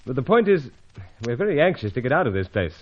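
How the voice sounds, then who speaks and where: A man acting a steady, gentlemanly British secret agent with clipped, composed speech in an old-time radio adventure serial. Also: mid-range voice, fast talker, highs cut off. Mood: respectful, businesslike.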